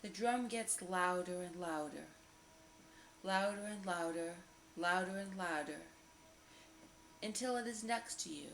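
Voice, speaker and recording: A woman narrating a guided meditation visualization in a slow, repetitive, soothing manner with lingering pauses. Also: fairly low pitch, fairly bright tone. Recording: roomy echo, faint background noise.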